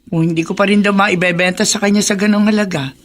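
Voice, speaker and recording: A woman's voice: medium pitch, fairly even intonation, quick pace, natural voice. Casting: actress as careworn Filipino village widow and mother, mid-range voice, sorrowful radio drama. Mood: matter-of-fact.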